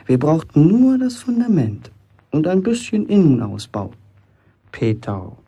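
An actor playing a boastful, self-important teenage apprentice bricklayer from a village, chatting colloquially in a radio play monologue. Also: male voice, fairly high pitch, huge pitch swings, unhurried, mellow, husky, full character voice. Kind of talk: German radio play acting, first-person monologue.

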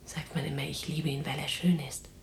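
An actress playing a weary but defiant, tender imprisoned resistance fighter in a German radio drama, speaking in a breathy, halting, intimate hush. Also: female voice, low-pitched, flat delivery, echoey room, a little hiss or hum. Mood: tender, wistful. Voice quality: breathy whispering. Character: exhausted, defiant, tender imprisoned resistance woman in wartime Netherlands.

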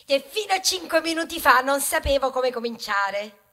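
An actress playing a chatty, bashful old grain of wheat in a stage monologue, hesitant and chiding as it addresses the audience. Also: female voice, high voice, expressive, moderate pace, pushed and bright. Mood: bashful, confiding.

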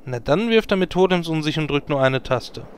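A man's voice: fairly high pitch, lively intonation, brisk, a little hiss or hum, natural voice. A man playing a wry, sarcastic raid leader handing out orders in a German parody audio play. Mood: brisk, commanding.

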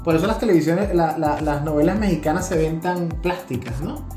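A Venezuelan man co-hosts a conversational podcast in an explanatory way. He often tags his points with a quick check for agreement. The room is echoey and music plays behind him. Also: on the high side, dark tone. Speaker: podcast co-host. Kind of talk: casual conversational discussion. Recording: roomy echo with music behind.

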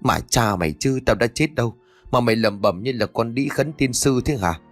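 A man's voice: mid-range voice, lively intonation, moderate pace, no echo, faint music behind, close to an everyday voice. A man voicing an irritable, reckless gang tough in a story, blunt and foul-mouthed. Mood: angry. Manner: cursing, blunt. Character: gruff, foul-mouthed male thug boss, reckless and irritable.

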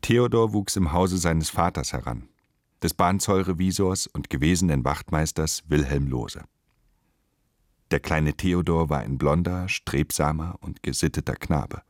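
A man narrating a literary radio play with measured, deliberate phrasing. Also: extremely low pitch, dry recording, clean studio sound.

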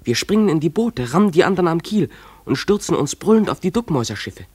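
An actor playing a dreamy, defiant runaway schoolboy in a German radio play, speaking in an imploring, declamatory way. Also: male voice, a little high, sing-song, fast talker, darkish tone, husky, no room sound.

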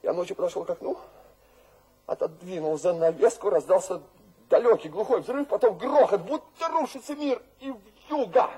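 An actor playing a feverish, exalted poet tormented by visions, declaiming dramatically in a radio play. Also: male voice, high-pitched, expressive, moderate pace, husky, poor audio. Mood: feverish agitation. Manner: declamatory, dramatic, shifting between hush and outcry. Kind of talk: theatrical radio drama monologue.

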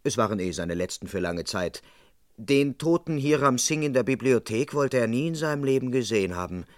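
An actor playing a hapless, deferential police constable in a 1970s German radio crime comedy. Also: male voice, medium pitch, expressive, a little fast, close to an everyday voice. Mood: wry recounting. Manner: deferential, excuse-making. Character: hapless, apologetic police constable, also telling his story.

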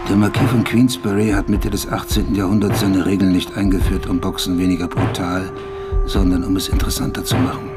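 A man reading a scripted, literary essay as narrator in a measured manner. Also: deep voice, little pitch movement. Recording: loud music bed under narration.

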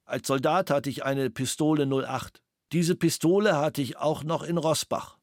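An actor reading the witness statement of a former soldier and gunsmith, sober and matter-of-fact. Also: male voice, fairly high pitch, fairly even intonation, moderate pace, no room sound, clean studio sound, lightly acted. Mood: flat, factual. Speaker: reader of interrogation protocols.

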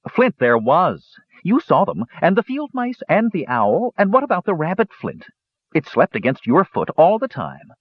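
A high, bright young kender who chatters eagerly and insists he is telling the truth, as an audiobook reader puts him on. The voice swoops up and down with lots of expression. It sounds dull and dry, recorded close in a small booth. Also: wildly swooping pitch, breathy edge, no room sound, exaggerated voice. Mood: insistent. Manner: quick, eager, pleading. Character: chattering young male kender, earnest and mischievous.

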